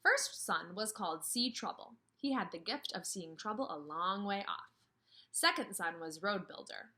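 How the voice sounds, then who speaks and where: A woman in her thirties teaching and reading aloud to kindergarteners, expressive, with questions and emphatic pauses. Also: medium pitch. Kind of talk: instructional read-aloud for young children. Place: indoors, room with a brick wall.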